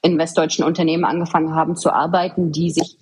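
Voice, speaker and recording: Husky low-voiced woman explaining earnestly. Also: flat delivery, fast talker. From eastern Germany. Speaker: podcast guest, government official. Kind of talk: interview answers, explanatory conversation.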